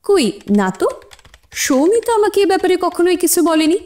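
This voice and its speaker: A woman's voice: very high voice, brisk, darkish tone. A woman playing an earnest young college student on the witness stand in an audio drama, emphatic and slightly defensive. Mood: earnest, puzzled. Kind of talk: audio drama courtroom acting.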